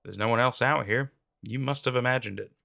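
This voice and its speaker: Man acting out a hard, gravelly, short-tempered crook. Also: mid-range voice, a little fast, sharp tone. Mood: cold, dismissive. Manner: blunt, profane, demanding. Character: hard, short-tempered male criminal, contemptuous and commanding.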